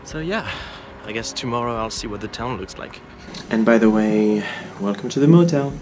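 A man's voice: on the low side, animated, moderate pace, a little mellow, slight background noise. Easygoing young man filming a road trip. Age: young adult. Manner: chatty, easygoing, thinking aloud with fillers. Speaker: travel vlogger. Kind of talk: casual road trip vlog. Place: inside a car while driving; motel room, small, indoors. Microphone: camera mounted on the dashboard.

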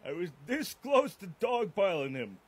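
Man playing a high-pitched, wisecracking cartoon dog. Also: sing-song, moderate pace, slightly rough, heavily acted. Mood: teasing. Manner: wisecracking, playfully pushy. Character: brash, teasing big dog puppet.

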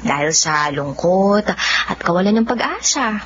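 A woman playing a gentle, resilient young blind woman with quiet determination, in Filipino radio drama style. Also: mid-range voice, sing-song, a little slow. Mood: earnest, hopeful. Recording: dull audio with faint noise.

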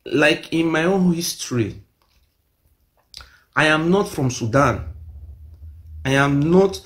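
A man's voice: on the high side, animated, slow pace, a bit bright. A middle-aged Nigerian man with a Nigerian accent, speaking emphatically to a phone camera in a small room, repeating his claims insistently. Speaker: self-made video speaker. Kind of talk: impassioned monologue to camera. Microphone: phone or webcam close to face.

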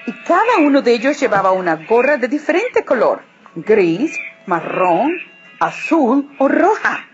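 A woman narrating a children's story expressively, with deliberate, dramatic phrasing. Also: fairly high pitch, big pitch swings. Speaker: storybook reader. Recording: muffled audio with music behind.

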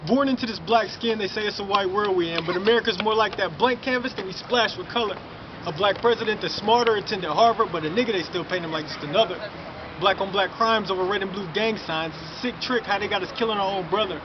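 Young man, spoken word poet, high voice, fast rhymes, dull street recording, clear outdoor noise. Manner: rhythmic, rhyming, building to forceful delivery. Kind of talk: spoken word poetry performance. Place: outdoors in front of a city mural.

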